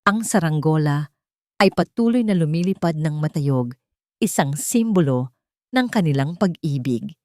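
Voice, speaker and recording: A woman reads a scripted story in a low voice. Her delivery is sentimental and measured, with lively, wide-moving intonation. The sound is close and dry. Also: no echo. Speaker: audiobook narrator.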